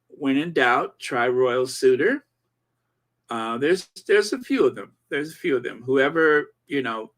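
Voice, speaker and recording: A man in his forties hosting a casual commentary channel, rambling with many pauses and fillers while reading viewer comments. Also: mid-range voice. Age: 40s. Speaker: channel host.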